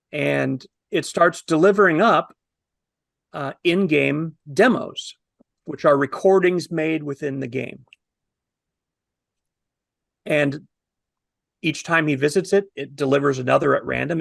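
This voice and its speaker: A man in his fifties telling a story in a casual, animated review on a video call, wordy and posing himself questions. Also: fairly high pitch, gravelly, no room sound, studio quality. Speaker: film review panelist.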